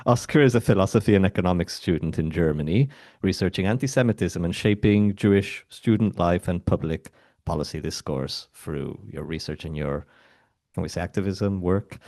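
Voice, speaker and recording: A man's voice: low-pitched, normal speed, a little mellow. A man hosting a panel discussion, introducing guests casually and laughing often. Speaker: host.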